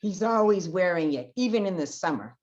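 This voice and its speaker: A woman's voice: lowish voice, expressive, a little fast, a little mellow, dull audio, lightly acted. A woman playing a nosy, accusing cafeteria worker in an old-time radio comedy. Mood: suspicious.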